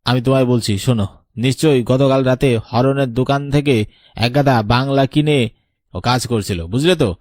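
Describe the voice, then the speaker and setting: A man's voice: mid-range voice, normal speed, darkish tone, dry recording, studio quality, natural voice. A man playing a skeptical village husband in a cartoon, dismissive as he talks to his wife. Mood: dismissive, reassuring.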